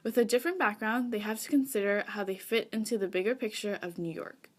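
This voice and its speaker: A woman's voice: medium pitch, fast. A woman reading scripted documentary narration in a formal manner. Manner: read-aloud, formal.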